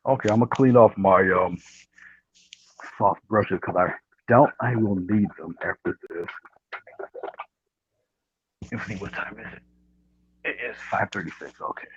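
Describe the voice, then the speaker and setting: A man with a low, husky voice giving a casual painting demonstration, speaking softly and often trailing off into a murmur. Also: a little slow, long pauses. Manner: soft, murmuring, trails off near whisper. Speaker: guest painting instructor. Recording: dull, roomy livestream audio.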